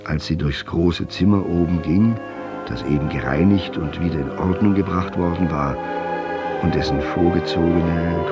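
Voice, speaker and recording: An actor playing a pensive author, narrating a literary audio drama in a measured, contemplative way. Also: male voice, sits low. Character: pensive male author telling his tale. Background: music.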